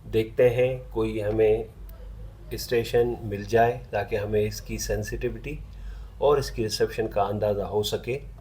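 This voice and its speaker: A man's voice: fairly low pitch, fairly even intonation, a little slow, background noise. A man giving a casual, conversational product review as a hobbyist reviewer. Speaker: vintage radio reviewer.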